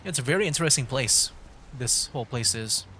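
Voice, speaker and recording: A man's voice: mid-range voice, expressive, brisk, bright, forceful tone, a little hiss or hum, low-quality recording. A man livestreaming a video game, thinking aloud in a casual, rambling, hesitant way. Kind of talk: casual gameplay commentary.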